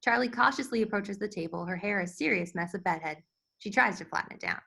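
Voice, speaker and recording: A woman's voice: mid-range voice, fast talker, creaky, sharp tone, slightly rough. A woman reading scripted stage directions as the narrator of a screenplay table read. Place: virtual table read over video call.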